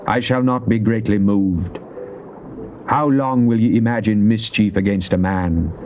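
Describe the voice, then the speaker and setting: A man acts a devout psalmist in a dramatized Bible recording, praying fervently. He declaims solemnly in measured phrases. The old cassette sound is dull, with a faint hiss behind him. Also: on the low side, close to an everyday voice. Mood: solemn, stern. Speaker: scripture reader. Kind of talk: dramatized King James Bible reading.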